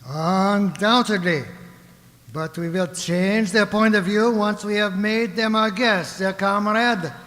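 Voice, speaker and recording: A man's voice: high voice, fairly even intonation, slow, fairly bright tone. A man playing a pompous, fat onion Secretary General in a satirical radio comedy, declaiming with bombastic indignation. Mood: scheming, insistent. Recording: hall-like reverberant stage sound.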